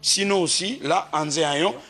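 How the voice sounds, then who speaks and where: A man presenting a television programme in a formal, measured way. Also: a little high, fairly bright tone, slightly rough. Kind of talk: studio presenting.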